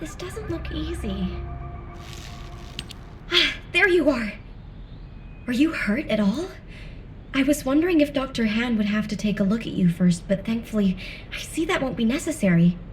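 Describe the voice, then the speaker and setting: A woman's voice: a little high, animated, normal speed, long pauses, darkish tone, recorded from a distance, faint music behind, natural voice. An actress playing a caring, earnest young female scout in a video game, talking in a friendly, encouraging way. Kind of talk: scripted video game dialogue.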